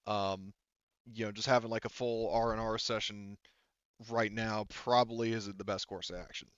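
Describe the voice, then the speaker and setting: A man working as game master, narrating a tabletop game in a casual, halting, conversational way. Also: on the low side, steady tone, fairly bright tone, clear, resonant tone, no echo. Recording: slightly dull close dry mic.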